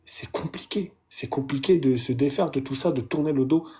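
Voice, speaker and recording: Un homme d'une trentaine d'années, invité, qui parle de sa foi d'une voix hésitante, en cherchant ses mots et en répétant certains d'entre eux. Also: male voice, mid-range voice, dark tone, slightly rough. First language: Arabic. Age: 30s. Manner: hesitant, halting, repeats words. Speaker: guest. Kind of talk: emotional conversation about faith.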